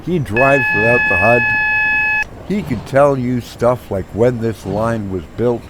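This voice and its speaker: A hoarse man hosts his own gameplay channel, talking slowly and casually. He rambles, trailing off into fillers and restarting his sentences, and faint game noise runs underneath his voice. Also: medium pitch. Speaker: gaming channel host.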